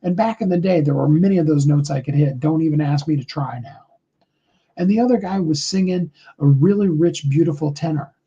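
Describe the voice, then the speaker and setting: A middle-aged man with a high tenor voice, giving a rambling, earnest motivational talk on a live call, full of fillers. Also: brisk, dark tone, slightly rough, lo-fi sound.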